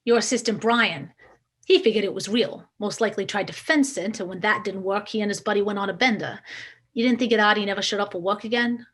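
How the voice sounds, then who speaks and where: A woman's voice: medium pitch, fast talker, a bit bright, slightly rough. An actress playing a skeptical female police detective at a table read, pointed and matter-of-fact as she questions. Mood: probing. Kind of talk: screenplay table read acting.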